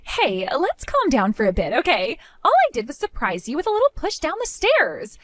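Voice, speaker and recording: A woman's voice: high voice, wildly swooping pitch, moderate pace, a bit bright, dry recording, voice pushed up, heavily acted. A woman putting on a giggly, taunting sister-in-law. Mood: defensive. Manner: sing-song, dismissive, turns pleading. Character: spiteful mocking young woman, future sister-in-law.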